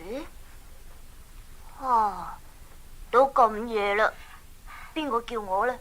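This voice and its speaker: A woman's voice: fairly high pitch, slow, a bit bright. A woman with a Hong Kong Cantonese accent playing a brave, earnest young man in a children's audio drama. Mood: puzzled, curious.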